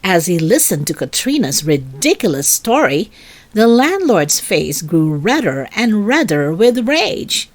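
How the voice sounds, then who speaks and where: A woman reading a children's story aloud as an expressive storyteller. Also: fairly low pitch, measured pace.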